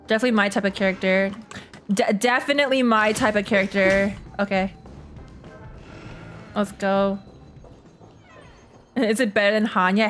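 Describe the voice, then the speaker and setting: A woman's voice: mid-range voice, a bit bright. A woman streamer giving casual, slangy, jokey reactions during a game playthrough, often repeating herself. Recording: faint game music behind. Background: game music.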